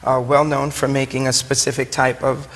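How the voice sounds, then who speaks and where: A man's voice: mid-range voice, flat delivery, moderate pace, fairly bright tone. Brooklyn guy, chatty and keen, explaining stuff in an interview, big echoey reverb. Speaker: wine shop owner, interview guest. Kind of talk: conversational, explanatory interview talk. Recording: long hollow room reverb.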